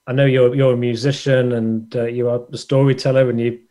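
A man's voice: mid-range voice, little pitch movement, fairly quick, dark tone. A man hosting a casual interview, asking questions in a hesitant, rambling way. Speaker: interviewer, host.

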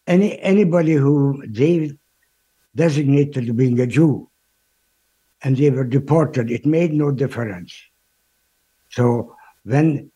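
An older man in his eighties with a Hungarian accent. His voice is husky and mellow, and he talks haltingly, restarting his words as he answers an interviewer. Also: mid-range voice, low-quality recording. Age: 80s. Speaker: guest, Holocaust survivor. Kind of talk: interview answers, recounting history.

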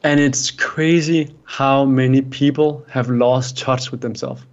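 A man's voice: mid-range voice, steady tone, slow pace. A man from Denmark, whose first language is Danish, answering interview questions about life coaching in an earnest, advice-giving way. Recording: dull lo-fi sound.

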